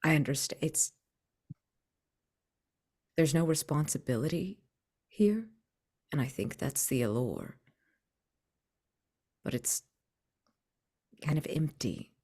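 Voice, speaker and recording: Woman playing a low-voiced, earnest, polite young man. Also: sing-song, slow, long pauses, darkish tone, slightly rough, heavily acted. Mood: thoughtful, reassuring. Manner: hesitant, thoughtful, with false starts. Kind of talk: in-character tabletop roleplay.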